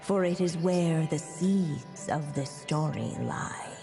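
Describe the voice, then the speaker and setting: A woman playing a solemn, mysterious teller of riddles in a video game, measured and cryptic. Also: deep voice, creaky, over loud music, exaggerated voice.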